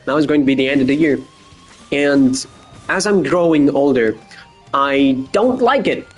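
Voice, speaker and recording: Young male podcast host, faint Boston accent, mid-pitched, rambling crude deadpan humor, soft music underneath. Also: breathy edge. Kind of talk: casual solo podcast monologue.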